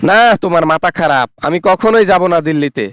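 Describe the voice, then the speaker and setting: A man's voice: fairly high pitch, lively intonation, fast talker, fairly bright tone, smooth, dry recording, clean studio sound. A man playing a greedy, sarcastic adult son, scornful and demanding, in a satirical phone-call skit.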